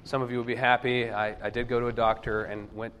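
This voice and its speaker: A man preaching a chatty, joking sermon to his congregation while sick with a cold, his voice husky and lowered. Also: flat delivery, quick pace, a bit bright, a little hiss or hum. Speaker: pastor. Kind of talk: conversational sermon. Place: church sanctuary. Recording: long hall reverb.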